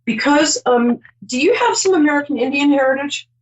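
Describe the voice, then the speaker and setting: A woman's voice: high voice, lively intonation, brisk, muffled recording. Chatty, reassuring psychic woman host. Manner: reassuring, chatty, rambling with many ums. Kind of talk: call-in psychic reading.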